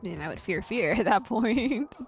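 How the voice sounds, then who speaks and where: A woman streamer reading a visual novel aloud in first person, breaking off into animated, exclaiming reactions. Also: fairly high pitch, moderate pace, faint music behind. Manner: animated reactions, gasps and laughs between read passages. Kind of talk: game playthrough, reading text plus reactions.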